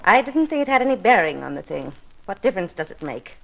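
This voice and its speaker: An actress playing a bitter, controlling older widow in a 1950s radio drama, haughty and blunt. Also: female voice, mid-range voice, very animated, fast talker, vocal fry, highs cut off. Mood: cool. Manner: haughty, blunt, self-pitying.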